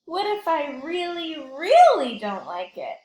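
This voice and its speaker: Woman voicing an anxious, high-pitched, whiny pigeon. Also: sing-song, slow pace, darkish tone, reverberant, exaggerated voice. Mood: anxious, frantic. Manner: exaggerated, emphatic complaining. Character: whiny, anxious, dramatic cartoon pigeon dreading school. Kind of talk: animated children's picture book read-aloud.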